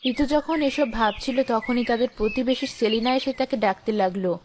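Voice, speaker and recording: A woman's voice: fairly high pitch, fairly quick, background noise. A woman reading scripted narration for a children's cartoon story.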